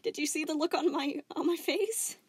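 A woman's voice: sits high, normal speed, dry recording, clean studio sound, pitched above the performer's own voice, close to an everyday voice. A woman voicing a frantic young gem girl who stammers and giggles nervously while forcing cheer. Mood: forced cheer.

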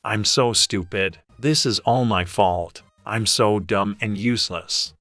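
A man's voice: fairly low pitch, slow pace, a bit bright, no room sound. A man playing a sad, loyal, protective young best friend in a dramatised online story. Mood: sad, longing.

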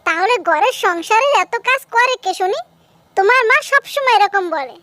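A woman's voice: extremely high pitch, animated, moderate pace, pushed and bright, no echo, clean studio sound, heavily acted. A woman voicing an aggrieved, defiant young daughter-in-law in a cartoon, complaining and answering back. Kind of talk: cartoon dub acting.

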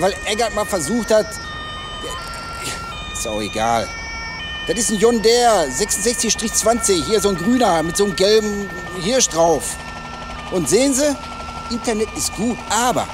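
Smug small-town cop in a radio crime play, highish voice, really lively, music behind. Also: male voice, recorded from a distance. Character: chatty, self-satisfied male local police detective. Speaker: actor in a radio drama. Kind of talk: naturalistic German radio crime drama acting.

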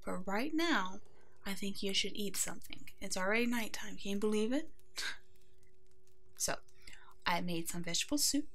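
A woman playing a kind, protective female werewolf alpha in an ASMR roleplay, speaking in a gentle, nurturing way that often slips toward a whisper. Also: medium pitch, animated, moderate pace, long pauses, slight background noise. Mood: caring, reassuring. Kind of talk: ASMR roleplay acting, tender and soothing.

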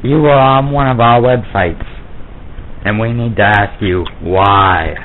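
A man putting on a menacing Russian mafia man for a prank phone call, making flat, slow, deadpan threats over a tinny phone line. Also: lowish voice, slight background noise, natural voice. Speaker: prank caller.

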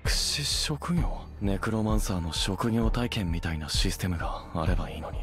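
A man playing a brooding young hunter in an anime game cutscene, measured, musing and commanding, with a deep voice. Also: animated, lightly acted. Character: brooding young male hunter gaining necromancer powers. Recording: loud music behind the voice. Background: loud soundtrack music.

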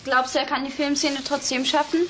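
A woman's voice: high-pitched, flat delivery, fairly quick, fairly bright tone, slight background noise. A woman playing a headstrong, emotional young girl who argues back in a children's audio drama. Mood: tender, concerned.

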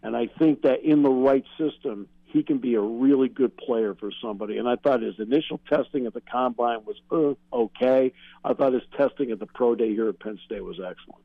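A man's voice: medium pitch, a little fast, creaky, no echo. Male American sports broadcaster, guest analyst, emphatic explainer, dull remote-line sound. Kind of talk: sports radio interview, analytical answers. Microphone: remote call-in connection. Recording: narrow, dull phone-like call-in line.

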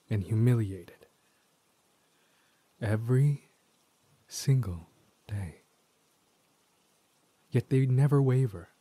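Guy voicing an evil possessing spirit for a tragic audio drama, menacing and tormented, drawn out theatrical delivery, husky breathy voice. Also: fairly low pitch, mellow, no echo. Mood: smug menace. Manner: theatrical, slow and deliberate, dropping into whispers. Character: possessing villain spirit in a childhood friend's body, menacing, tormented. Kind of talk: scripted dramatic audio roleplay monologue.

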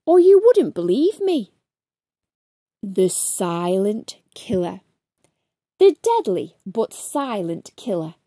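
Woman, kids' read-aloud narration, lively swooping pitch, dry close sound, drawn-out key words. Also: no room sound. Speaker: children's storybook reader.

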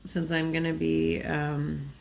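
A woman's voice: sits low, monotone, slow pace, vocal fry. A woman just woken up, chatting in a rambling, nasal voice with fillers and small laughs while she works on a craft at a table indoors. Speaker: diamond painting vlogger.

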